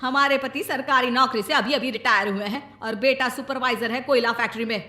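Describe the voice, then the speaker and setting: A woman's voice: a little high, brisk, bright, forceful tone, roomy sound. Woman voicing proud, scolding cartoon mother-in-law. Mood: angry, offended. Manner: scolding, haughty, blunt. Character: proud, short-tempered middle-aged mother-in-law. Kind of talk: cartoon story voice acting.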